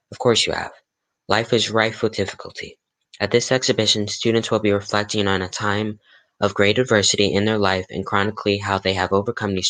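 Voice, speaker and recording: A man's voice: on the low side, fairly bright tone, lightly acted, poor audio. A man playing a courteous radio announcer and host in an old-time radio drama, speaking in a polite, scripted promotional style. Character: courteous, eager radio announcer and visiting interviewer.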